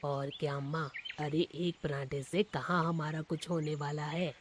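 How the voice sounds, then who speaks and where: A woman plays a young lad who is a regular at a food stall in a cartoon tale. The voice sits on the low side for a woman, chatty and full of friendly appreciation, over faint noise. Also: little pitch movement, unhurried. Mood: friendly, appreciative. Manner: familiar, chatty, complimentary. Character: friendly, appreciative young male student customer. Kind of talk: cartoon moral story voice acting.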